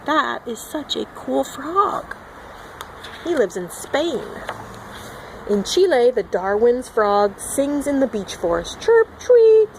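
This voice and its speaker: A woman's voice: sits high, very animated, takes it slowly, a little hiss or hum, low-quality recording. A woman reading a picture book aloud to young children as a teacher, expressively, with simple asides and acted-out sound words.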